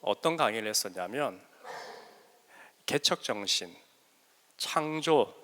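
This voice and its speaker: A man with a bright, forward voice and a regional Korean dialect showing through his learned Seoul speech, lecturing students with self-deprecating humor and hesitant pauses. He speaks into a handheld microphone. A long hall reverb trails each phrase. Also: lowish voice, expressive, slight background noise. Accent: Korean regional dialect under learned Seoul speech. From South Korea. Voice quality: joking, self-deprecating, with frequent hesitant fillers. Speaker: guest mentor lecturer. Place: large hall at a school workshop.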